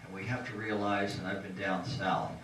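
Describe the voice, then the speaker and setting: Guy with a low voice making an earnest forum speech, echoey room, far from the mic. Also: little pitch movement, normal speed, fairly bright tone, a little hiss or hum.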